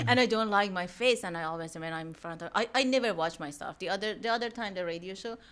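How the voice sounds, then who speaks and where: A woman's voice: mid-range voice, fast talker, a bit bright. A woman from Iran with a thick Iranian accent, a native Persian speaker, chatting casually as an interview guest. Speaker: podcast guest, mortgage lender.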